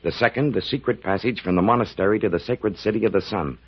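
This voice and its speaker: A man playing an earnest, anguished doctor and father in an old radio adventure, speaking gravely and emphatically. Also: lowish voice, fast. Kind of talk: 1940s radio adventure acting. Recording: dull old radio recording, crowd noise behind.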